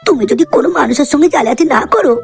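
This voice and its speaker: A woman voicing a clever, sharp-tongued, foul-mouthed girl sidekick in a comic cartoon. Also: sits high, animated, racing, creaky, faint music behind. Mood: admiring, curious. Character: sharp-tongued, clever, loyal young female gang sidekick.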